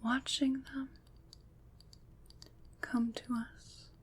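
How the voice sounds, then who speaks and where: A woman playing a kind, soothing witch in a gentle, breathy ASMR whisper, pausing between phrases to reassure. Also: on the high side, flat delivery, heavily acted. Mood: gently encouraging. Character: kind, soothing witch comforting someone troubled by nightmares. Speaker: ASMR performer. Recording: clear background noise.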